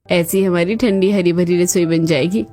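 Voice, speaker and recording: A woman's voice: fairly low pitch, steady tone, normal speed, warm tone, close to an everyday voice. A woman voicing a kind young Indian daughter-in-law, polite and earnest, in a cartoon family drama. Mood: hopeful.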